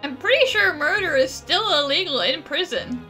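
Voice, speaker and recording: A young adventurer has a high, bright voice with animated pitch. She is inquisitive and wry, tossing out quips in game-dialogue style. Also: normal speed, soft background music. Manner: wry, quippy. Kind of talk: game voice acting.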